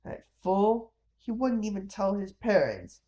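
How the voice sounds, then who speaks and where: A woman voicing a stern, hot-tempered father who scolds in a gruff manner. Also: medium pitch, very animated, measured pace, mellow, highs cut off. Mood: grudging.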